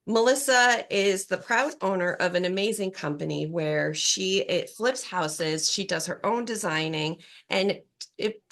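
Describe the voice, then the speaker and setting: A woman hosting a podcast as an empowerment coach, upbeat and encouraging, her voice slightly hoarse from a cold. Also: mid-range voice, fairly bright tone. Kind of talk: scripted intro then interview chat.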